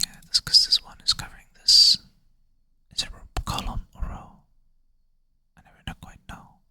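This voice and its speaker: ASMR whisperer, sleepy and self-deprecating, bright airy close-mic whisper. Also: normal speed. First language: Swedish. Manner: soft whisper, thinking aloud, self-deprecating. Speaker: ASMR creator. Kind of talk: whispered ASMR puzzle play-through.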